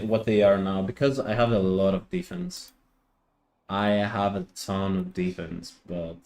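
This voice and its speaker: Slow, hesitant man streaming a game. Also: fairly low pitch, a little mellow. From Greece. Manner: hesitant, repeats words, answers chat. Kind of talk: casual live-stream chat.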